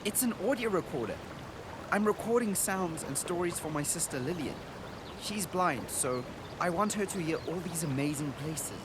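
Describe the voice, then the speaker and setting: A man's voice: fairly high pitch, expressive, moderate pace, background noise, close to an everyday voice. A man playing an earnest, wonder-struck boy traveler in a radio drama, speaking as if recording an audio diary. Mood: earnest, grateful. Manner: earnest, descriptive, full of wonder.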